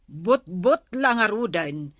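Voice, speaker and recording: A woman's voice: medium pitch, lively intonation, takes it slowly, dry recording. Lady playing bossy ilocano village woman, nagging, comedy drama, dry studio sound. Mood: scolding.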